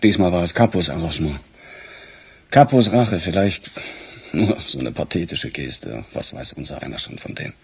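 A weary police detective in a radio crime drama. The voice is low and heavily husky. He talks in a wry, conversational way. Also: lively intonation, moderate pace, heavily acted. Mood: wry, recounting.

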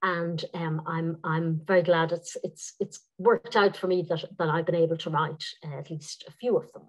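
Irish woman, thoughtful and halting. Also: deep voice, steady tone. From Ireland. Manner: thoughtful, many restarts and repeated words. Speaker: author guest. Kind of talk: interview over video call. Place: home room with windows. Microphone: video call.

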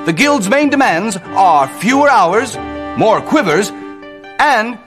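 A pompous medieval news anchor delivers a parody video game bulletin. His voice is high and theatrical, with lively intonation over music. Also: slow pace, full character voice. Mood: arch, deadpan. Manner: theatrical, deadpan newsreader delivery.

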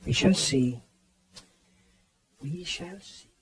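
An actor playing a grave, authoritative physician-professor in a radio drama, giving decisive, clipped instructions. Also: male voice, a little high, drawn-out words. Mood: measured, musing.

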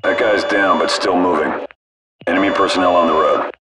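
A man's voice: low-pitched, steady tone, normal speed. A man playing a cool, detached military gunship crewman, giving clipped, casual callouts through a radio filter. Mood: calm, focused. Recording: noisy military radio with engine drone.

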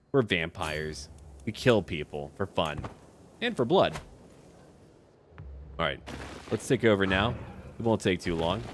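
Low-voiced man commentating a strategy game. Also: a little hiss or hum. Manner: muses aloud in short clipped remarks. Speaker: gaming YouTuber. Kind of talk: casual game commentary with light role-play. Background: background music.

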